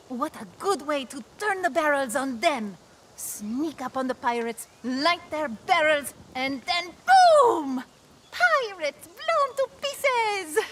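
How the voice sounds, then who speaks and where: A woman's voice: sits high, noisy background. A woman voicing a feisty, playful young woman in a video game, speaking theatrically and mischievously. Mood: gleeful. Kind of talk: video game character dialogue.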